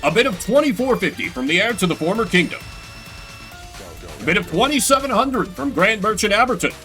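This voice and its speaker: Formal, showy game auctioneer, played by a man, raised bright voice, crisp announcing. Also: high-pitched, lively intonation, fairly quick, soft background music, pitched above the performer's own voice. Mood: brisk, rising excitement.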